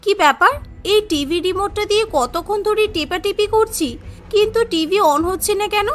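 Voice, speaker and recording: A woman playing a high-pitched naive village girl. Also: moderate pace. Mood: puzzled. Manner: exclaiming, guileless, plaintive. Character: naive, easily frightened young village woman.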